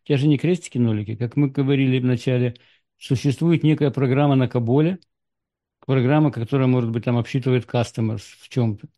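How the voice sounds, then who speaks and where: A man hosting a conversation, asking calm, probing questions. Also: mid-range voice, fast talker, dry recording, clean studio sound. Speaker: interviewer, host. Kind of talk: casual conversation.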